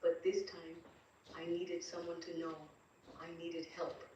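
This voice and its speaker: A very reverberant, distant recording with faint noise carries a woman playing a battered, grieving wife. Her voice is fairly low as she recounts her ordeal. The storytelling is hushed, dramatic and full of dread. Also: completely flat delivery, unhurried, muffled recording, natural voice. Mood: frightened. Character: terrified, grieving young wife recounting abuse from beyond death. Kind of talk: first-person dramatic story narration.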